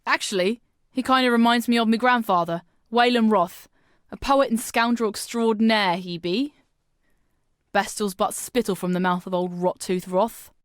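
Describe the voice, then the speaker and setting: A woman's voice: on the high side, moderate pace, dry recording, studio quality, natural voice. An actress playing a brash, bawdy young female pirate in a video game, talking in swaggering, salty old-time sailor slang. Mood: cheeky. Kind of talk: video game character dialogue.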